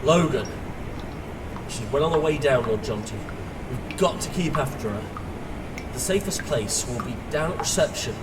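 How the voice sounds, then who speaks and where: A man's voice: medium pitch, animated, normal speed, long pauses, background noise, natural voice. A man playing an exasperated father urgently giving orders in an audio drama. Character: exasperated, bossy father leading an escape. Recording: distant mic under loud music.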